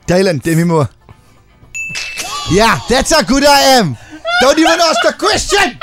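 A man's voice: very high voice, wildly swooping pitch, music in the background. A man, co-hosting a radio breakfast show quiz with joking, teasing banter. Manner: joking, teasing, quick comebacks.

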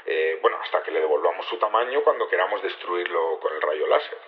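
A man's voice: low voice, expressive, brisk. A dull, lo-fi radio-like recording of a male rebel leader from Spain. He explains his plans in a naturalistic way, with small hesitations, as in an audio drama. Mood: deliberating. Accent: Spanish (Spain). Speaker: actor in a fiction podcast.

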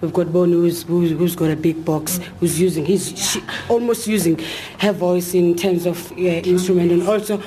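A woman's voice: fairly low pitch, steady tone, normal speed, warm tone, a little hiss or hum. A woman, an a cappella singer, talking enthusiastically in an interview with many hesitations. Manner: enthusiastic, hesitant with fillers and restarts. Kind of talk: casual interview talk.

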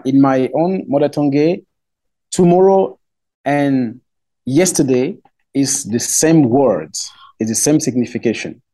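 A middle-aged man from Cameroon with a Cameroonian accent, speaking English as a second language. He is a festival spokesman in a radio interview, talking haltingly with many fillers and restarts. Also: mid-range voice, warm tone. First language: Romala.

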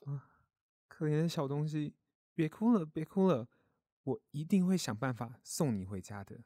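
A man voicing a kindly grown-up mole in a children's audio story, gentle, caring and reassuring. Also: fairly high pitch, lively intonation, normal speed, dry recording, studio quality. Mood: comforting.

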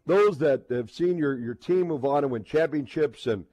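A man hosting a sports radio show in casual talk, halting and repeating words as he builds toward a point. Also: on the high side, dark tone, dry recording, lo-fi sound.

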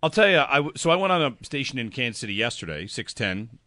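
A man hosts sports radio in a chatty, joking, energetic way. His voice is bright and forceful, and the studio sound is close and dry. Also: medium pitch, fast, no room sound. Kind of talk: casual chat.

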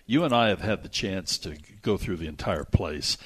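A man's voice: low-pitched, expressive, normal speed, a bit bright, slightly rough. A man hosting a radio show with casual, chatty enthusiasm, repeating phrases for emphasis.

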